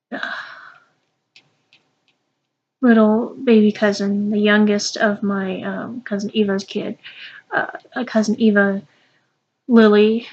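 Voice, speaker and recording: A young woman with a stuffy, sniffly nose, talking through a casual unboxing vlog with many pauses and restarts. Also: mid-range voice, darkish tone, dull audio. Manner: halting, with many ums and restarts. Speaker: vlogger.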